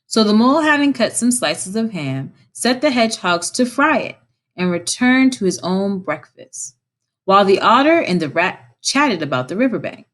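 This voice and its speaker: A woman reading a story aloud as a teacher, in an expressive narrating style. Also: medium pitch, normal speed.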